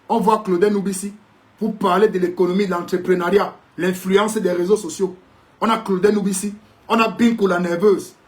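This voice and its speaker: A man with a Cameroonian French accent ranting sarcastically in a video commentary, indignant and preachy, sometimes slipping into English to mock. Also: sits high. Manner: mixes in English mockingly.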